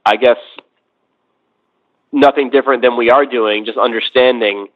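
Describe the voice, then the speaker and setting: Through a thin, phone-quality connection, a man of medium pitch offers political commentary in conversation. He is reflective and measured, laying out each point one phrase at a time. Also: fairly even intonation. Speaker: podcast discussion guest. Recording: tinny phone-like line.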